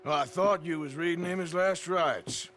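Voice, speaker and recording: An actor playing a gruff American outlaw in a Western video game, dry, blunt and sarcastic. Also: male voice, on the high side, moderate pace, fairly bright tone, soft background music, natural voice. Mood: dry, mocking. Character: gruff, sardonic American outlaw gunman in his thirties. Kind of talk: video game cutscene acting.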